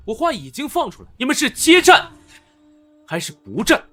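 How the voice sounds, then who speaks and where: An actor playing a brash, defiant young official in a Chinese historical comic drama, with a taunting, declamatory manner. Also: male voice, extremely high pitch, wildly swooping pitch, moderate pace, bright, forceful tone, no echo, full character voice. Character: brash young male official of beggar origin, defiant and commanding.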